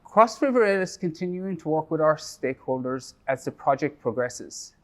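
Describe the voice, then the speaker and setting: A man with a slightly raised pitch gives a scripted project update. He reads prepared remarks in a steady, measured way. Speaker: project staff presenter. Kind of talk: scripted presentation.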